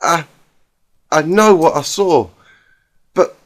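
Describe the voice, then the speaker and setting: A man voicing a distraught young female country pony, folksy, halting and stammering, in a dramatic storybook reading. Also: on the high side, voice pushed up. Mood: tearful, insistent.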